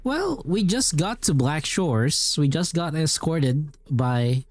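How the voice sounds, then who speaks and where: Streamer, mellow mid-pitched voice, offhand gameplay chat, dry close sound. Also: expressive, slow pace, no echo. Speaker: game streamer. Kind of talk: casual live gameplay commentary.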